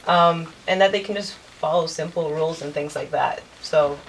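Female guest, 20s, low register, casual conversation, slight room noise. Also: moderate pace, recorded from a distance, poor audio. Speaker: college radio programming director, guest. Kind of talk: casual interview chat. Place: furnished indoor music room studio. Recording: faint background noise.

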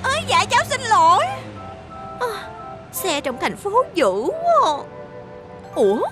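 A woman's voice: very high voice, wildly swooping pitch, takes it slowly, over music, exaggerated voice. A woman voicing a timid, curious young female bus from the countryside in a dubbed cartoon. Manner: timid and wondering. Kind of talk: Vietnamese cartoon dub.

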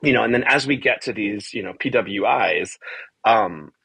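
Low, crisp-voiced man thinking aloud. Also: bright, forceful tone, dry recording, low-quality recording. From born in Argentina, grew up in the US from age four. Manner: halting, repeats words, often checks in with "right?". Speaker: theater composer, music director and adapter. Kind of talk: conversational interview answer. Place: small bare room, indoors, video call.

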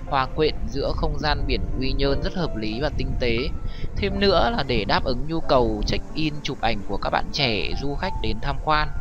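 A man reading a scripted travel narration as a vlog host, with occasional hesitations. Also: mid-range voice. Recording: music over the voice. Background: prominent background music.

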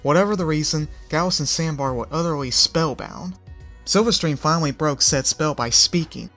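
A man reading a story aloud in a steady, even narration. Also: a little high, normal speed. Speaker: fanfic reader. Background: faint music.

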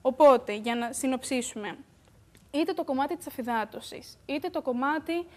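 A young woman, a beauty expert, explaining calmly in a TV studio on a lapel mic, with the odd "uh" filler. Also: high voice. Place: bright TV studio.

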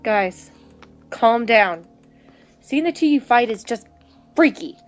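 Gentle young male peacemaker, played by a woman, concerned, highish pitch, visual novel, slightly muffled mic. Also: expressive, takes it slowly, soft background music. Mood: worried. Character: Mike, gentle young man trying to keep the peace.

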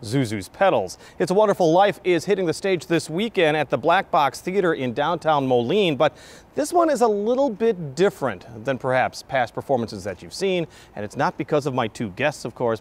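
Middle aged guy hosting local tv, slightly high voice, really varied pitch. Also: sing-song, moderate pace. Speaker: TV host. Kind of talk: presenting and interviewing on local TV. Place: large indoor hall decorated with Christmas trees. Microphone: lapel mic.

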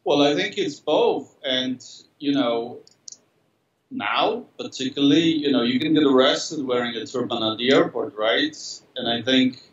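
A middle-aged man speaks at an unhurried, halting pace, full of fillers and self-corrections. His voice comes through a laptop video call, dull and far from the mic, with a roomy echo in the studio room. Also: mid-range voice. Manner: slow, hesitant, many fillers and restarts. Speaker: interviewed artist. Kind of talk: thoughtful interview answers. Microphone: laptop webcam on a video call.

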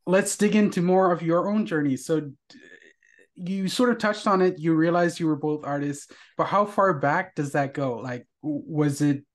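A man's voice: a little high, normal speed. A man in his thirties with a blended Indian and Irish accent, hosting a casual interview, close on the mic. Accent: mixed Indian and Irish. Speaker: podcast host. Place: indoors, small room with wall art, on a video call. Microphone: large microphone on boom arm, close.

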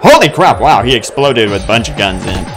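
A man in his twenties doing casual, excitable gameplay commentary full of exclamations and mild crude language. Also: mid-range voice, fairly quick, music in the background. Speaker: gaming video host.

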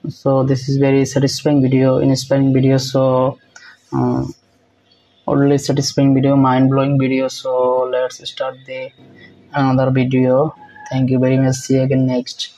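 Young guy reacting on phone cam, halting broken english, super monotone and dark. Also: medium pitch, rough recording. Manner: repetitive, halting non-native English with fillers. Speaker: reaction video host. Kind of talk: casual reaction commentary. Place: indoors, small room.

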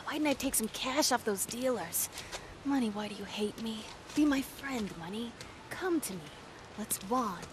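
Actress as a high-voiced, haunted young American woman. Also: expressive, moderate pace, noisy background, lightly acted. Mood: wistful muttering. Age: young adult. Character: haunted young woman with powers, ex-addict turned vengeful. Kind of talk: dramatic video game acting. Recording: loud music behind the voice.

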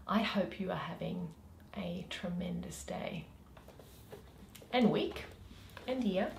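A woman's voice: lowish voice, takes it slowly, vocal fry, reverberant, slight background noise. A woman in her thirties presenting a reading in a friendly way, recorded indoors at home. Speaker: host and reader. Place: small room at home, indoors.

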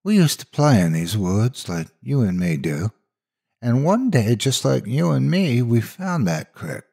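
A man voicing a frightened, earnest young country girl in a horror story, tense and haunted, with a husky voice. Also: mid-range voice, very animated, measured pace, dark tone, voice pushed up, heavily acted. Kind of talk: dramatic horror story dialogue.

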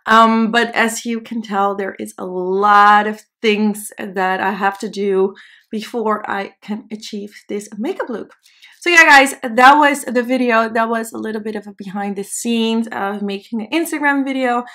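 A woman's voice: fairly high pitch, fairly even intonation, a little slow, pushed and bright. A young woman in her twenties whose first language is Dutch, chatting casually and self-deprecatingly while doing her makeup on camera in a small indoor studio. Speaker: beauty vlogger. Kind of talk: casual makeup tutorial chat.

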